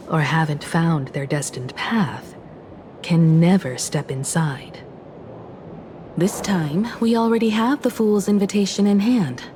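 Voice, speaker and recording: A slow, measured, low-voiced young woman. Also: noisy background. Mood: musing. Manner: measured, reflective storytelling. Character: composed, wistful young woman, former scrappy martial artist student. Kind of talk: scripted game story dialogue.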